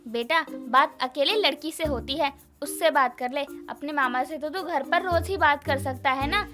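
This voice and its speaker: Lady doing a naggy suspicious cartoon mother, high pitched, exasperated, music playing under it. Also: normal speed, natural voice. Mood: suspicious, insistent. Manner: scolding, exasperated. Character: scolding middle-aged Indian mother, sharp-tongued and suspicious. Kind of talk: Hindi cartoon story voice acting.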